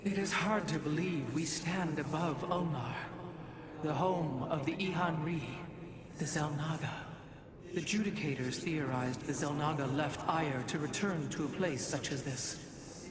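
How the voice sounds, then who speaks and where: A man's voice: on the high side, unhurried, over a noisy crowd, close to an everyday voice. A man voicing a mystical alien keeper of ancient lore in a video game, solemn, reverent and formal. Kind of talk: video game cinematic dialogue. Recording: orchestral score behind the voice.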